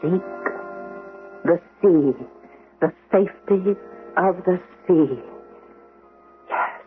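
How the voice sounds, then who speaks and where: A woman's voice: fairly low pitch, drawn-out words, soft background music. An actress playing a troubled, intense Roman noblewoman who foresees catastrophe, in theatrical 1970s radio drama style. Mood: solemn, pleading. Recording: noisy, phone-like old radio broadcast.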